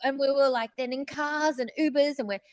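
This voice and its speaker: A woman's voice: high voice, fairly even intonation, brisk, a little mellow, dry recording, clean studio sound. A woman co-hosting a podcast, telling stories in blunt, jokey, slangy casual chat. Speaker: podcast co-host; voice actor.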